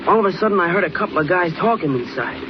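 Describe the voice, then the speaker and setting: A man's voice: high-pitched, expressive, rapid-fire. A male actor playing an eager, slangy young copy boy in a 1940s radio drama. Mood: eager, excited. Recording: muffled, noisy 1940s radio recording.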